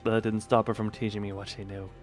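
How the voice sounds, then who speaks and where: A man's voice: on the low side, level tone, fast talker. A man on a live game stream, reading dialogue aloud theatrically and adding dry, casual asides. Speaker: livestreamer. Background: game music.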